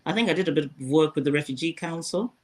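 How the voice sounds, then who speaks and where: A woman, a former news presenter talking through her career at a thoughtful, measured pace in a casual interview. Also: low voice, darkish tone. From Zimbabwe.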